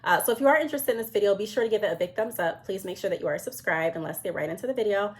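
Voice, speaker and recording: A woman's voice: medium pitch, expressive, rapid-fire. A woman in her thirties, congested from a cold, giving casual advice to camera with frequent ums while glancing at her notes. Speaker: business vlogger.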